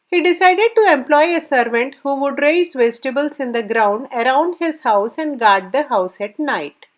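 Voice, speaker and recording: A woman with a high voice reads a children's story from a script. She works as the storyteller, narrating the tale. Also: poor audio. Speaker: storyteller, reader.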